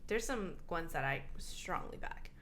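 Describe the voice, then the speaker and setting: A woman's voice: low-pitched, lively intonation, a little slow, a bit bright, a little hiss or hum. A woman co-hosting a podcast, chatting casually and reading out a list between her own remarks. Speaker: podcast co-host. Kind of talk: casual chat.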